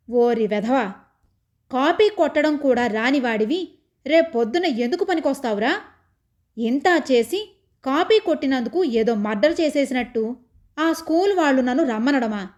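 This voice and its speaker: A woman voicing a furious, bullying middle-aged father who shouts, sneers and hurls abuse at his family. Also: sits high.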